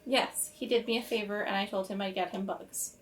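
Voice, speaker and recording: A woman's voice: mid-range voice, fairly even intonation, brisk, slight background noise, pitched below the performer's own voice, close to an everyday voice. A woman playing an indignant, sarcastic teenage daughter in a tabletop game, protesting and blurting things out. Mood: evasive.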